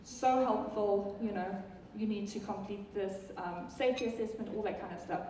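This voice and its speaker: A woman's voice: mid-range voice, moderate pace, dark tone, a little hiss or hum. A woman from South Africa with a South African accent, giving a chatty live product talk to an audience, with many ums and restarts. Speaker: software product presenter. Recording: echoey hall from far off.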